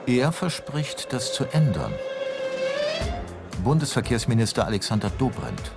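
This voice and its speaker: A man's voice: mid-range voice, sing-song, measured pace, creaky. A man with a standard German accent reads scripted television documentary narration. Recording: music behind the voice. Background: background music.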